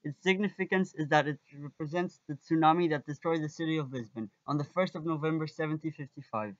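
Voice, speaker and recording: High-voiced teenage Portuguese guy narrating. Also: fast, no echo, dull audio. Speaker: student presenter and guide. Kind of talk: amateur documentary narration.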